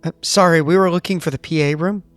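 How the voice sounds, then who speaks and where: A man's voice: fairly high pitch, steady tone, fast talker, a bit bright, no echo, faint music behind. A man taking part as a player in a tabletop roleplaying game, chatting casually in and out of character.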